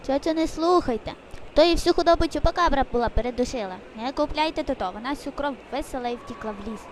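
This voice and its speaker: A woman's voice: high-pitched, quick pace, natural voice. A woman voicing a frightened, gossipy young boy in a cartoon, telling tales breathlessly with a western Ukrainian accent. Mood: fearful, gossiping. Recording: outdoor street noise.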